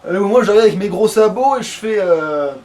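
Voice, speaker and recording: A man's voice: sits high, animated, normal speed, darkish tone. A man hosting a let's play, chatting casually and bantering in an informal way. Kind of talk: casual chat over gameplay. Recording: faint background noise.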